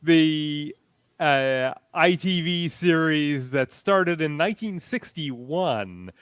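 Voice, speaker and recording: A man speaking as a convention panelist, explaining things haltingly and often pausing mid-sentence in a discussion. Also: fairly high pitch, expressive, fairly bright tone, clean tone, dry recording, clean studio sound. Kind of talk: panel discussion of audio dramas.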